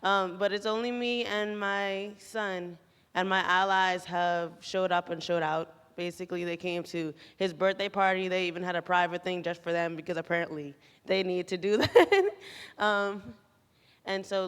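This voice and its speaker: Clear-voiced chatty woman in an echoey hall. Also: lowish voice. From the Bahamas. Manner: chatty, informal storytelling. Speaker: programme participant giving a testimonial. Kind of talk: casual, anecdotal public testimonial. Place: large echoey room at a graduation event. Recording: echoey hall, long reverb.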